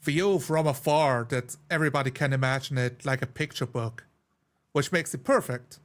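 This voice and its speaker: A man in a casual discussion as a game player, talking haltingly as he thinks aloud. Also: medium pitch. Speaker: tabletop role-playing player.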